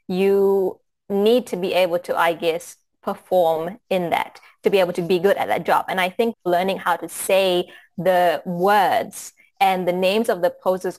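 Fiji-raised woman, hesitant and earnest. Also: lowish voice. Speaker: yoga teacher, interviewee. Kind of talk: casual interview talk.

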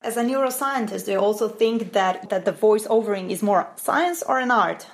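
A woman's voice: medium pitch, animated, normal speed. A woman in a mid-range voice conducts an interview. She asks brief, plainly worded questions and gives short murmurs of agreement.

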